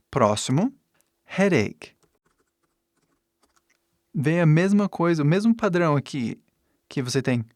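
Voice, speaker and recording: A man with an English speaker's foreign accent, a native English speaker, teaching in a deliberate way with pauses between short phrases and example sentences. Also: mid-range voice, dry recording, clean studio sound. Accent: English-speaking foreigner's accent in Portuguese. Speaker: English teacher giving an online lesson. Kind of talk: instructional lesson with example sentences.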